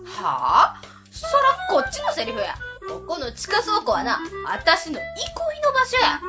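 A woman's voice: high voice, wildly swooping pitch, moderate pace, loud music behind the voice. A woman playing a cheeky middle-aged cleaning lady with a Kansai accent in a comedic radio drama, teasing and bossy. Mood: indignant, bossy.

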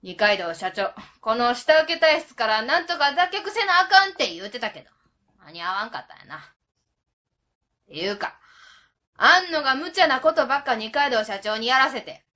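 A woman's voice: on the high side, pushed and bright. A woman playing a blunt, hot-tempered middle-aged auntie with a Kansai accent in a radio drama, talking in a brash, scolding way. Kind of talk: web radio drama acting.

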